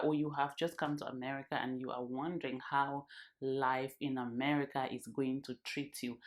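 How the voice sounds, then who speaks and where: A woman in her thirties from Cameroon, talking earnestly to her channel's viewers and repeating phrases for emphasis. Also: very deep voice. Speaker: vlogger. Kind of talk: casual talk to camera.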